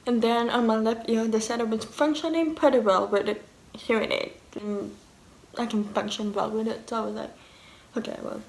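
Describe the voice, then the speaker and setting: A woman's voice: a little high, fairly even intonation, normal speed, echoey room, poor audio. A woman in her twenties from Canada, a native French speaker with a French and deaf accent, talking candidly in a casual vlog. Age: 20s. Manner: candid, fillers and restarts, quoting others. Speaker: vlogger.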